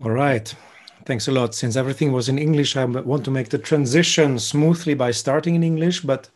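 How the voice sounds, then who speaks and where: A man whose first language is German opens his talk as a guest law professor, clear and matter-of-fact. His voice sits at an ordinary middle pitch. He speaks over a video call. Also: fairly quick, a little mellow. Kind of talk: introducing a lecture.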